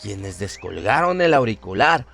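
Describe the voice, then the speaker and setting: A man's voice: lowish voice, very animated, unhurried, gravelly. A man narrating a scripted horror radio story in a dramatic, solemn and suspenseful manner. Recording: music bed behind the voice. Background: music.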